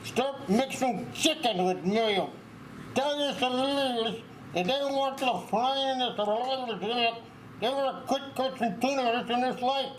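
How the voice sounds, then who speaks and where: A man's voice: very high-pitched, unhurried, a little hiss or hum, poor audio. A man voicing an indignant, threatening talking fish in a theatrical, haranguing table read. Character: indignant talking fish, male, making threats as terrorist leader.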